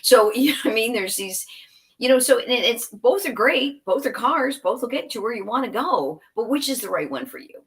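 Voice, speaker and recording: A woman's voice: on the high side, animated, normal speed, a bit bright. A woman guest in an interview, chatty and rambling, laughing and trailing into asides. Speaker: guest, software company executive. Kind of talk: conversational interview.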